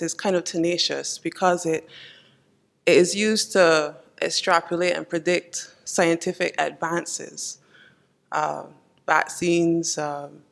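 A woman's voice: on the low side, vocal fry. A woman with a Barbadian accent asking a lecturer a question from the floor of a large hall, pressing for specifics. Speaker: audience member asking a question.

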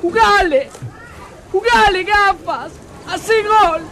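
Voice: very high-pitched, slow, a crowd in the background, background noise, exaggerated voice. An actor playing a dim-witted, belligerent little boy in a comedy radio play. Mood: excited, angry. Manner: childish, belligerent. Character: hot-headed, dim-witted little boy wanting to coach football.

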